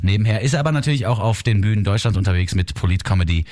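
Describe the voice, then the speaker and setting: A man's voice: fairly low pitch, lively intonation, very fast, a little mellow. A man hosting a radio interview in a studio, easygoing, laughing along and asking long winding questions. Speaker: radio host. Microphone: studio microphone.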